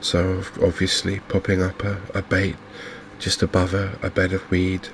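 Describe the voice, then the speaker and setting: Very low, softly spoken Englishman. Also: completely flat delivery, creaky, noisy background. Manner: gentle, unhurried, hushed. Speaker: gaming video host. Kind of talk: softly spoken ASMR game commentary. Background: fishing game ambience.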